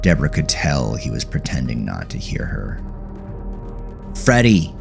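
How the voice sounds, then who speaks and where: A man narrating a scripted horror story with a measured, deliberate delivery. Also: very deep voice, big pitch swings, creaky, music in the background. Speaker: audiobook narrator.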